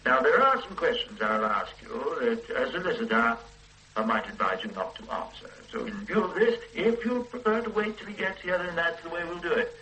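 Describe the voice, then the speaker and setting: An actor in a radio play voicing a formal, methodical police detective who speaks in a measured, procedural way. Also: male voice, on the high side, sing-song, sharp tone, exaggerated voice, low-quality recording.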